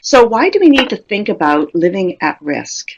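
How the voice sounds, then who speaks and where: A woman's voice: mid-range voice, big pitch swings, moderate pace, dull audio, background noise. A middle-aged woman presenting a professional webinar in a conversational, reflective way, putting questions to her audience. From Canada.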